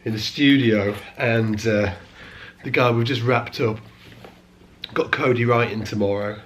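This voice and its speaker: A British man who is losing his voice, chatting casually to camera about bass guitars in a vlog. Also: steady tone, unhurried, a little hiss or hum. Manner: chatty, self-correcting, explaining as he goes. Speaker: bass teacher and vlogger.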